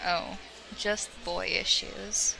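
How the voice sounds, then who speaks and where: Woman playing a creaky-voiced, sly teenage girl. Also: mid-range voice, flat delivery, slow pace, music in the background. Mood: teasing. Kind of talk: audio drama acting. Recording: clearly noisy home recording.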